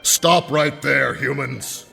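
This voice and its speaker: A man voicing a small, dim but polite toy monster guard with a gravelly growl, in an audio drama. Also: mid-range voice, steady tone, sharp tone, faint music behind, full character voice. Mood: stern. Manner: slow, deliberate delivery. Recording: long cavernous reverb.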